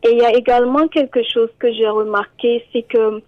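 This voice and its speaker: A woman's voice: fairly high pitch, steady tone, brisk, dry recording. A woman immigration columnist, speaking in a radio interview and hesitating with many fillers and repeated words. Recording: tinny phone line, very dry.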